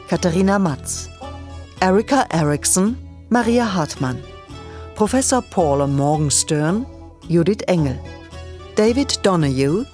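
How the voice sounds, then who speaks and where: Woman reading out radio play credits, lowish voice, slow n formal, music underneath. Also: a little mellow. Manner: measured, formal reading of names and titles. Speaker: announcer. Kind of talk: scripted radio drama credits announcement.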